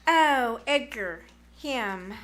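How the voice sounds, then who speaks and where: A woman's voice: on the high side, lively intonation, a bit bright, full character voice. A woman playing a dramatic, gushing teenage girl in a 1940s-style radio comedy, with exaggerated exclamations. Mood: bored, dismissive. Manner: breathless, exaggerated, drawn-out exclamations. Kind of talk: 1940s radio comedy acting before a live audience.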